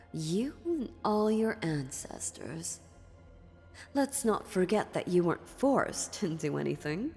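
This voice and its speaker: A woman's voice: on the low side, a little mellow. A woman playing an ancient, dominant demon in a fantasy audio roleplay, mocking and menacing, with a condescending, theatrical delivery. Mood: coolly accusing. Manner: slow, condescending, sardonic, theatrical. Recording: long reverberant hall, music underneath.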